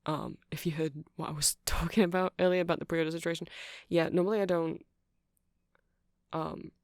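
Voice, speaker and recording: A man's voice: a little high, moderate pace, no echo, studio quality, close to an everyday voice. A man playing a nerdy vampire who keeps a music shop, rambling eagerly and apologetically in a casual ASMR roleplay. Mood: eager, helpful. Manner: hesitant, apologetic, excitable rambling.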